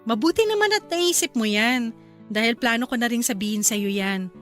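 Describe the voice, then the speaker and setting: A woman's voice: fairly high pitch, animated, normal speed, faint music behind, natural voice. A woman playing a cheerful, eager young wife and mother in a Filipino radio drama, with a Filipino accent. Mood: chatty, warm.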